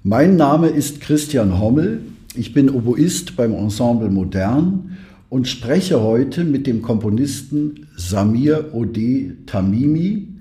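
A man's voice: mid-range voice, expressive, slow pace, warm tone. A middle-aged man hosting a conversational podcast interview with tentative, hesitant phrasing. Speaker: podcast host, musician.

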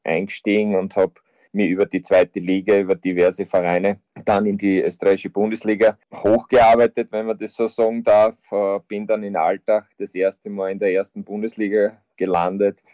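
A man in his fifties with an Austrian accent, a football coach talking as a podcast guest, halting with many fillers and restarts. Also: fairly low pitch, level tone, mellow, no room sound. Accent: Austrian German. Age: 50s. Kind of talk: casual interview talk. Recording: dull lo-fi sound.

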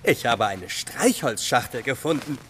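A woman playing a carefree, cheeky child toy figure in a children's audio drama, flippant and excitable. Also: rumbling low, unhurried, a little hiss or hum, full character voice.

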